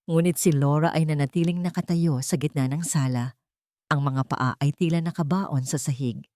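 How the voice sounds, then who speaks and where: Woman, Filipino accent, dramatic audio story narration, lowish voice, dry close sound. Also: normal speed, dark tone, dry recording. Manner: dramatic storytelling, voicing characters' lines.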